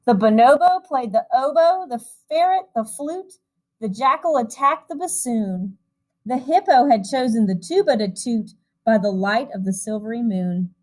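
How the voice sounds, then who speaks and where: High-pitched woman reading aloud to children. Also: unhurried, low-quality recording. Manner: animated storytelling addressed to young children. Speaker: music teacher reading a picture book aloud. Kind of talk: expressive children's read-aloud with chatty asides.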